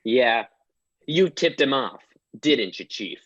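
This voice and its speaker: A man's voice: medium pitch, lively intonation, takes it slowly, fairly bright tone, dry recording. Man acting as earnest small-town detective, comedic radio play, dry sound, dull lo-fi recording. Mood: accusing. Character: Tom Hanks' earnest small-town detective, dog-loving. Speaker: comedy troupe performer.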